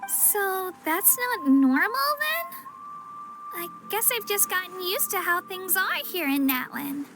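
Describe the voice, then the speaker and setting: Very high-voiced, upbeat girl guide. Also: very animated, slow, full character voice. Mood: earnest, explaining. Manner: friendly, chatty, eager explaining. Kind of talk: video game voice acting. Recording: music behind the voice. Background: game music.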